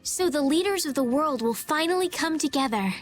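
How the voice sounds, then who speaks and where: Young heroine, anime game: earnest, gentle, high lilting delivery with music underneath. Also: female voice, lively intonation, fairly quick. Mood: hopeful. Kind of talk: English anime game dub acting.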